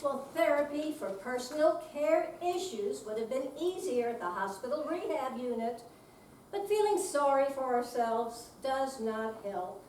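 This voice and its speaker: A woman's voice: high-pitched, expressive, measured pace, darkish tone. A woman playing a chipper, patient visiting physical therapist in a staged dramatic reading. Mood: patient, instructive. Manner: chipper, instructive. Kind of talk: readers theater dramatic reading. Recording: echoey room, distant mic, faint noise.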